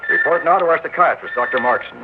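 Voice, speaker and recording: A gruff army general , voiced by a man, snaps out orders in a voice that rises and falls a lot. It comes through a thin, phone-like old radio broadcast with steady hiss. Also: fairly high pitch, fast, natural voice. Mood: commanding. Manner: barking orders, brusque. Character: commanding male army general, gruff and blustering. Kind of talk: 1960s radio drama acting.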